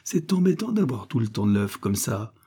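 A man's voice: fairly low pitch, big pitch swings, normal speed, warm tone, breathy edge, exaggerated voice. A man voicing a cunning little rooster who grumbles and hurries his companion along, in a storybook reading. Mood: urgent grumbling. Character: bossy quick-witted little rooster.

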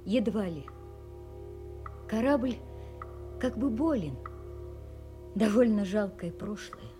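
A woman's voice: medium pitch, animated, slow, music in the background. An actress playing a proud, wounded, melancholy young lady in an audio drama, speaking in a grave, restrained way. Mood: melancholy, offended.

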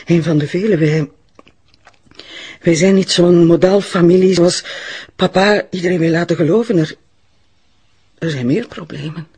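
An actress with a Flemish accent playing a middle-aged woman doctor in a radio drama, polite and guarded, with halting phrasing. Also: on the low side, warm tone, slightly rough. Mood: hesitant, troubled.

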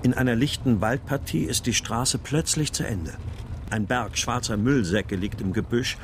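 An actor playing a brooding father who narrates in the first person, sober and measured. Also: medium pitch, slight background noise, close to an everyday voice.

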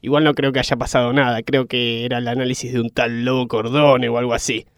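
A man plays a chummy, leering Argentine working guy in a radio sketch. His voice is mid-pitched and slangy, recorded close and dry. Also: level tone, fairly quick, clean tone, no echo, lightly acted. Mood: chummy, casual. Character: cheeky, leering working-class hospital stretcher-bearer. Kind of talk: radio sketch comedy acting.

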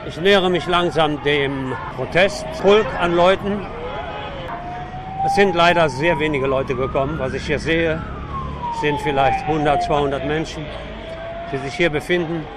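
A man's voice: fairly high pitch, measured pace. A man reporting live for radio from outdoors, giving a spontaneous running commentary as the show's host. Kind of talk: live on-location radio reportage from a street protest. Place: outdoors on a city square at a protest. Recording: noisy outdoor street recording amid a crowd. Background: loud crowd, street and vehicle noise.

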